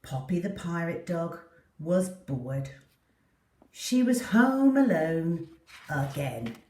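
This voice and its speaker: A woman tells a children's story with very animated pitch and careful, expressive phrasing. Her tone is soft-edged and mellow. The recording is roomy and slightly distant. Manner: deliberate, animated delivery for young listeners. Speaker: storyteller reading a children's book. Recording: roomy echo, distant mic.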